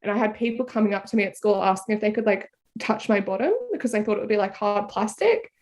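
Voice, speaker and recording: A woman's voice: mid-range voice, fast talker, darkish tone. An Australian woman talking casually as a podcast guest over a video call, full of "like" fillers and easy laughter. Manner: chatty, frequent "like" fillers, laughs easily. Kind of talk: casual conversational interview.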